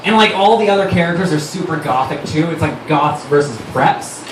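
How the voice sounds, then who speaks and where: Distant echoey recording, man, lively higher-pitched voice, casual stage talk, informal. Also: brisk. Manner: informal, filler-heavy, swearing. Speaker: writer-director reading on stage. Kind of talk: casual stage talk and dramatic reading of fan fiction. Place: live stage before an audience at a fundraiser event. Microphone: stage microphone. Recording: noisy, echoey live room recorded from far off.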